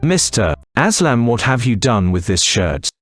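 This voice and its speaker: A man's voice: medium pitch, big pitch swings, measured pace, soft background music. A man playing a stern, impatient factory manager who scolds firmly in a cartoon moral story.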